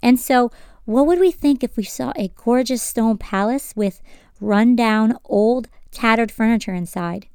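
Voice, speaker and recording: A woman's voice: a little high, unhurried, a little mellow, clear, resonant tone, no room sound, clean studio sound. Congested young woman hosting a faith podcast. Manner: earnest, asks listeners direct questions. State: congested from sinus trouble. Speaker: podcast host.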